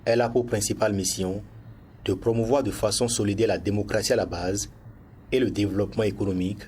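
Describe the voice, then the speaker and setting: News reporter, male, Beninese accent, lowish register, scripted report delivery over background noise. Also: fairly even intonation, quick pace, a little mellow.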